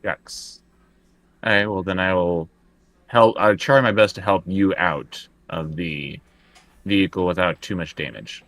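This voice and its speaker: Male tabletop player, deep voice, tentative and casual delivery. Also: unhurried, fairly bright tone. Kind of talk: casual tabletop roleplay chat.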